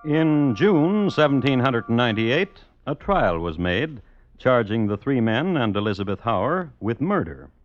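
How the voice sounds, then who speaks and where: An actor playing a dry, deadpan crime historian who hosts and narrates an old radio series with precise, formal wording. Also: male voice, medium pitch, unhurried, dull audio. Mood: dry, wry. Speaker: host and narrator. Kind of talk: 1950s radio scripted narration.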